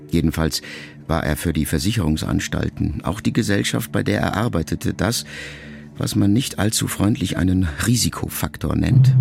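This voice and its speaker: A man acting in a literary radio play as a grown, middle-aged son who quietly and introspectively addresses his mother in measured phrases. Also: low voice, vocal fry, heavy background noise. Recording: music behind the voice. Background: music.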